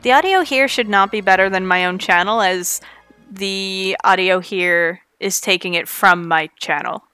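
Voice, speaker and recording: A woman's voice: mid-range voice, measured pace, bright, forceful tone, smooth, no room sound, soft background music. A Canadian woman with a slight Newfoundland lilt, giving chatty, playful live commentary on her speedrun. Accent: Canadian, with a touch of Newfoundland. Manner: chatty and playful, jokes with chat.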